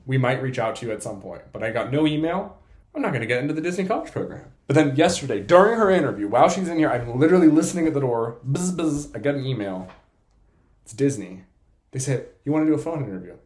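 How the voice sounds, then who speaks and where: A young man chatting casually to camera as a vlogger, playful and self-deprecating in an excitable way. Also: mid-range voice, fast, roomy sound. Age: young adult. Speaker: vlogger and college program applicant.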